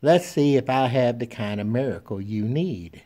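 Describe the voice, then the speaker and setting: Gentle, soft-spoken well-dressed surgeon, as a man voices him in a told story. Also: medium pitch, expressive, moderate pace, natural voice.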